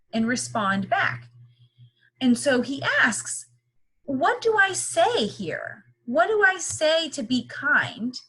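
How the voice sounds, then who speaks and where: Bright-voiced woman teaching, slightly husky. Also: fairly high pitch, poor audio. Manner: simple explanations with repeated questions and check-ins. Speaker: teacher reading a picture book aloud. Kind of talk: interactive read-aloud lesson for young children.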